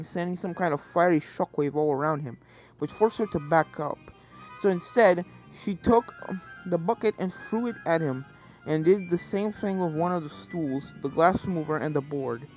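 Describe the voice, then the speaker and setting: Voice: mid-range voice, a little mellow, low-quality recording. A reader giving scripted narration of a fan fiction story. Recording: game music behind the voice.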